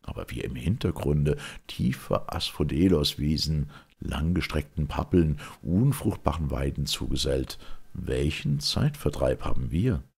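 Male reader for classical verse drama: extremely low, husky voice, solemn and theatrical. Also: expressive, unhurried, darkish tone. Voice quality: gravelly, husky.

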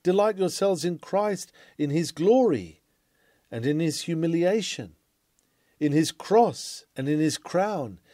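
A man reads a classic sermon in a fairly high, mellow voice. His delivery is formal and oratorical, full of earnest declamation. The recording is dry and close, with little room sound. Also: expressive, slow pace, no room sound. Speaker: sermon reader.

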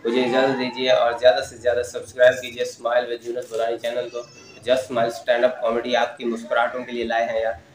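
Chatty self-mocking young comedian. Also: male voice, medium pitch, monotone, a little fast, roomy sound, a little hiss or hum, low-quality recording. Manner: chatty and self-mocking, laughs through his own lines. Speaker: stand-up comedian and channel host. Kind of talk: casual stand-up comedy monologue. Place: studio in front of a lit backdrop. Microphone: lapel mic.

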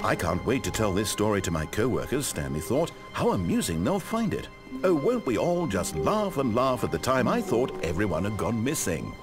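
A man's voice: fairly low pitch, big pitch swings, normal speed, loud music behind the voice. A man playing an omniscient, sardonic video game narrator, articulate and condescending, toying cruelly with his character. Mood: wry, storybook calm. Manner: theatrical, mocking, condescending, articulate.

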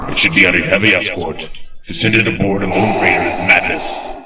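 A man playing a menacing, formal armoured Sith lord in a video game cutscene, speaking gravely and deliberately. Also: sits low, fairly even intonation, recorded from a distance, heavy background noise, lightly acted. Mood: stern disapproval. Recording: echoing voice under loud music.

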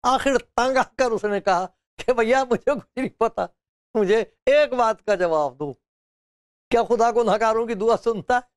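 A man's voice: very high-pitched, measured pace, clear, resonant tone, dry recording, clean studio sound. An older man preaching a sermon to camera with a lapel mic, telling stories and acting out quotes with chuckles. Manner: storytelling, acting out quotes with laughs and gestures. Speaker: pastor. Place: studio with bookshelves, indoors.

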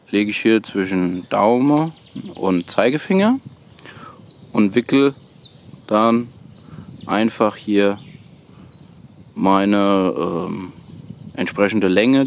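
A man's low voice at a woodland campsite, slowly and haltingly showing a beginner a practical trick while birds sing. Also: lively intonation, a little hiss or hum. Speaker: host of a bushcraft tutorial channel. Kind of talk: hands-on how-to demonstration. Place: outdoors on a woodland floor, birdsong behind.

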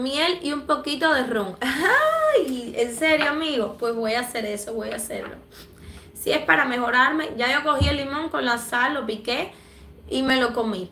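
A woman's voice: high voice, pushed and bright, roomy sound, slight background noise. A young woman from Cuba and the Dominican Republic, chatting affectionately on a livestream as she reads viewer comments aloud, a little stuffy from allergies. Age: young adult. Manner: affectionate, chatty, laughs often. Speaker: livestream host. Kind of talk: live chat reading viewer comments. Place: small room indoors. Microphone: phone camera at arm's length.

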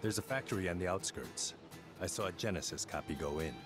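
A man playing a grave, businesslike commanding officer in a video game, terse and measured. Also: low voice, lightly acted. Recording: game music under the dialogue.

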